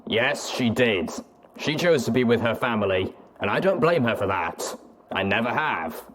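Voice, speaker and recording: A man playing a gruff, stoic, weary soldier pony with a slow, measured delivery, for a story reading. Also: medium pitch, animated, lightly acted. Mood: resigned, regretful. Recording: clearly noisy track with clicks.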